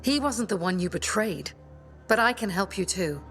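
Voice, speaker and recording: A woman's voice: medium pitch, animated, normal speed, a bit bright, faint music behind, lightly acted. An actress playing a stern, embittered young female rebel leader in a video game, firm and earnest. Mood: earnest, persuading. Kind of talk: video game dialogue acting.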